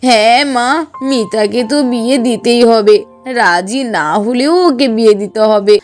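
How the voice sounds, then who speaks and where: A woman's voice: a little high, normal speed, soft background music, natural voice. A woman voicing a fair-minded, fretful middle-aged mother-in-law in a cartoon, earnest and admonishing. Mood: worried resolve. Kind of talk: Bengali cartoon voice acting.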